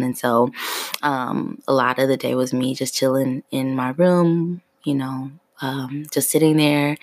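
A woman in her thirties hosting her own podcast in a relaxed, free-flowing chat, her voice still sleepy in the morning. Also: a bass voice, no room sound. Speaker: podcast host. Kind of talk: casual solo podcast chat.

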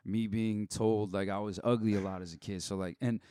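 A man's voice: lowish voice, steady tone, a little fast, dark tone, smooth. A young man talking casually and candidly as a podcast guest, blunt and given to swearing, acting out bits of past conversations as he goes. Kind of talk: casual personal chat.

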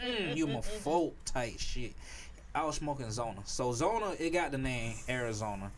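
A man's voice: medium pitch, moderate pace, a crowd in the background. Slangy young man telling stories. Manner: animated storytelling, slangy. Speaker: podcast host. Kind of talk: casual chat.

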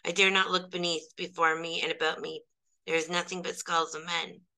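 A woman's voice: lowish voice, flat delivery, normal speed, pushed and bright, poor audio. A woman voicing a frightened male pilgrim who pleads fearfully. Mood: terrified. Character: terrified male pilgrim on a mountain.

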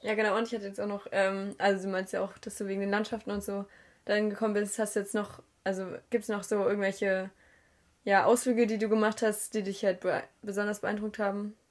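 A woman's voice: medium pitch, level tone, a little fast. Encouraging young female interviewer. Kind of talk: casual chat.

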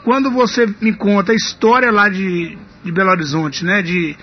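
A man's voice: high voice, fairly even intonation, moderate pace. A man hosting a radio interview, chatty and full of tag questions. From Minas Gerais, Brazil. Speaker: radio host. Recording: dull radio broadcast.

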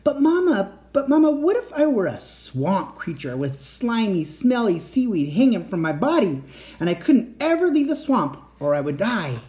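A man's voice: big pitch swings, moderate pace, a little mellow. A man voicing a small boy who keeps asking his mother insistent questions at bedtime. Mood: pleading. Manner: high, pleading questions.

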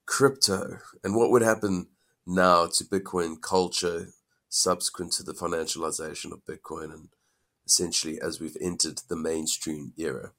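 A man's voice: low voice, a bit bright. A man hosting a podcast interview, upbeat and enthusiastic, sniffly from a cold. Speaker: podcast host.

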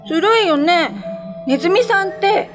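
High-voiced curious little kid played by a woman. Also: animated, moderate pace, over music. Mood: curious, gossipy. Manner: childlike, eager, simple speech. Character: small, curious, chatty child tattling on mother. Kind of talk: radio ghost-story drama.

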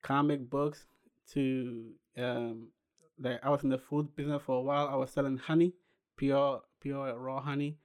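A young man from Ghana who founded a clothing brand, telling his story unhurriedly as a podcast guest, with pauses and restarts. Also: medium pitch, flat delivery, darkish tone, smooth. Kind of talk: casual interview chat.

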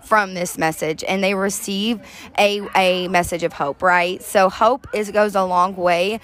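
A woman with a deep voice, co-hosting a faith-based recovery podcast, talking earnestly and heartfelt to listeners, recorded outdoors on a back deck. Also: steady tone, a little slow, a bit bright. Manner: earnest, heartfelt, addresses listeners as "you guys". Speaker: podcast co-host.

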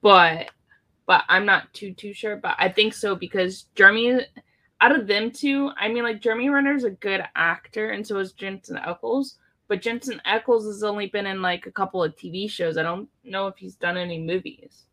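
Agreeable podcast co-host, bright voice. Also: mid-range voice, normal speed. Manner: agreeable, frequent short affirmations. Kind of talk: casual chat.

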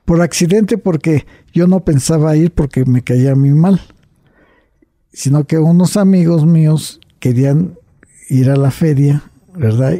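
Older man, husky, gravelly, storytelling with frequent restarts. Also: medium pitch, warm tone, no room sound, studio quality. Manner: storytelling with tags like "right?" and "you see?", frequent restarts. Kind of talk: recounting personal memories in conversation.

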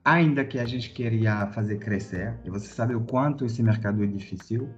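A man's voice: on the low side, moderate pace, darkish tone. French guy in his 30s, searching for words, lots of uhs, kinda dull audio w faint music. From France. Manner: hesitant, many fillers and restarts, searching for words. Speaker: guest, corporate director. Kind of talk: podcast interview, telling his career story.

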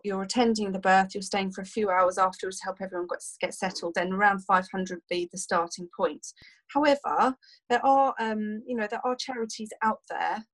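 Doula with a tiring voice. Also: female voice, medium pitch, rough recording. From United Kingdom. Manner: rambling, many fillers and restarts. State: losing her voice. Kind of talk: casual podcast interview.

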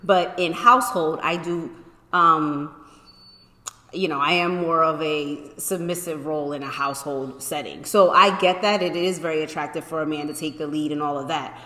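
Frank woman with a low, bright voice. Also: fairly even intonation, normal speed. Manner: frank and opinionated, laughs easily. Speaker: podcast co-host. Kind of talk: casual chat. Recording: echoey room with long reverb.

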